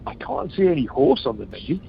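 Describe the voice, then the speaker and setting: A man's voice: medium pitch, wildly swooping pitch, fast talker, vocal fry, full character voice. A man playing a jokey, needling newlywed husband in an amateur comedy radio play. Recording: muffled conference call, faint noise.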